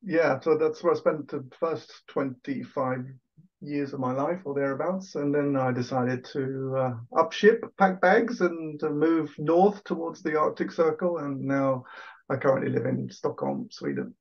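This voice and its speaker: A man's voice: on the high side, measured pace, lo-fi sound. An Englishman talking casually as an interview guest on a video call, chatty and self-deprecating with lots of fillers. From England.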